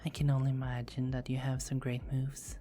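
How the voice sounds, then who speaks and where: A woman's voice: very deep voice, monotone, brisk, dark tone, soft background music. Woman whispering as a teasing vampire girl. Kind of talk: ASMR roleplay.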